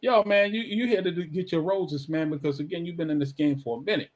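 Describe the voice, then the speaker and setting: A man's voice: a little high, quick pace. A man from Brooklyn, New York, hosting a radio interview in a friendly, hyped, casual way, often starting with "so now.". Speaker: radio show host.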